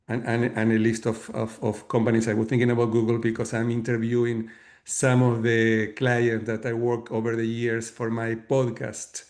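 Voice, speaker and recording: A middle-aged man from Argentina with an Argentine Spanish accent, explaining his work as a leadership coach in a measured way, with many fillers and restarts. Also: medium pitch, a little mellow. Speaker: guest author and leadership coach. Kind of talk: webinar talk.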